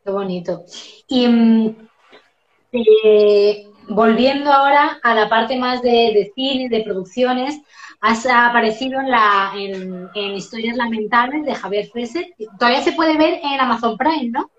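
A woman's voice: on the high side, a little slow. A woman interviewing a guest in a casual, friendly way, with hesitant restarts as she asks her questions. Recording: roomy and dull sound.

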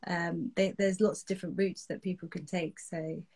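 A woman in her thirties, born in the UK, co-hosting a casual podcast chat, talkative and expressive with many fillers. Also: fairly low pitch, a little fast, slightly rough. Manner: chatty, expressive, lots of fillers. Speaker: podcast co-host, psychotherapist.

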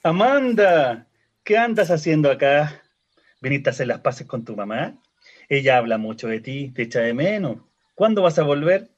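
A man's voice: on the high side, sing-song, moderate pace, lo-fi sound. A man with a Chilean accent playing a chatty, teasing cemetery worker in a radio drama. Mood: friendly, weary. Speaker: actor. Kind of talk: radio drama acting, colloquial dialogue.

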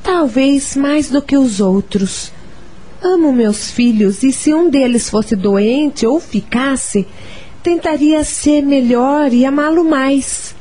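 High-voiced, forthright woman in radio drama. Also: slow, mellow. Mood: touchy, earnest. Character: hardworking, forthright mother, touchy but loving girlfriend.

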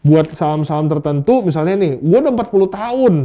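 Mellow, higher-voiced man explaining casually. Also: expressive, fast talker, muffled recording. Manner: conversational, explains with acted-out questions and examples. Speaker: guest expert on stock market investing. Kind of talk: casual explanatory interview talk.

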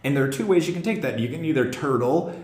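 A man's voice: a little high, lively intonation, quick pace. A man hosting a casual theater podcast, talking like a director and often acting out imagined remarks. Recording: roomy, echoey room sound.

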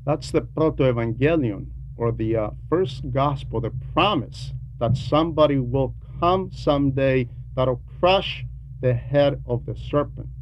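Slow-speaking man preaching, muffled noisy recording. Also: medium pitch. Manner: deliberate, emphatic repetition, explanatory. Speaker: preacher reading a translated homily. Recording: dull lo-fi sound with background noise.

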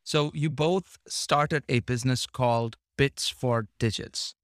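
A man's voice: medium pitch, slow, dry recording, studio quality. A man hosting a podcast, chatting casually and rambling with many restarts. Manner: rambling, restarts phrases, fillers. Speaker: podcast host. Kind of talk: casual interview talk.

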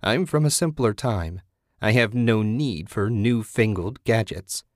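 A man voicing an eerie old woman hermit in a story, folksy and hospitable yet sly, with drawn-out phrasing. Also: fairly low pitch, darkish tone, dry recording, clean studio sound, close to an everyday voice.

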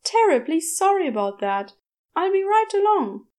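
A woman's voice: very high voice, big pitch swings, moderate pace, a little mellow, pitched above the performer's own voice. A woman voicing a fussy, bookish male angel in a story, courteous and genteel with an exasperated edge.

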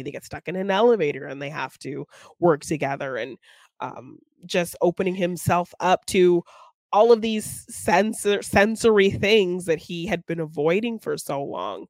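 A woman's voice: fairly low pitch, no room sound. A woman co-hosting a film podcast, talking casually and conversationally.

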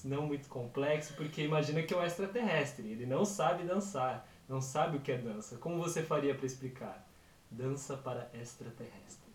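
A man's voice: fairly high pitch, slightly rough. A man hosting a dance podcast, opening the show and asking his co-host questions in an upbeat, playful way.

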